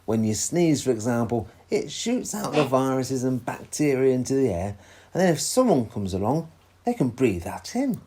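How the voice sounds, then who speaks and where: A man playing a patient, reassuring father who explains things in a children's storybook, with a husky voice. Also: medium pitch, very animated, normal speed, mellow. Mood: patient, explaining. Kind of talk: read-aloud children's storybook dialogue.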